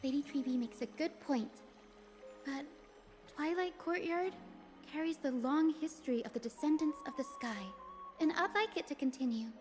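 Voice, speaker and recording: A woman plays a kind young maiden in a video game. The voice is high, polite and gentle, with an earnest, nurturing manner over faint music. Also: moderate pace, lightly acted. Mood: warm.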